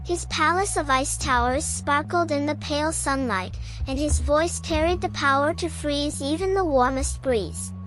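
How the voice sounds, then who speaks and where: Woman storyteller, high voice, unhurried pace, scripted bedtime tale, music behind. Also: fairly bright tone, low-quality recording.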